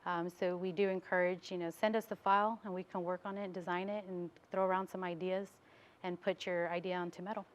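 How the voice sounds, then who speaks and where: A woman's voice: fairly low pitch, little pitch movement, brisk, lo-fi sound. An American woman being interviewed as a business owner in a TV studio, explaining things in a casual way.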